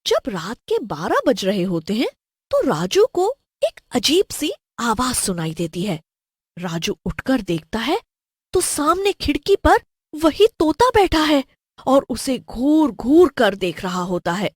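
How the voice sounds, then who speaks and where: Slow, expressive woman storyteller. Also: on the high side, dry recording, studio quality. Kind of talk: scripted horror story narration.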